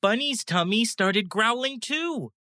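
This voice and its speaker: Female narrator, lively swooping intonation, bright tone, children's bedtime story, expressive. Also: on the high side, slow pace, no echo, studio quality.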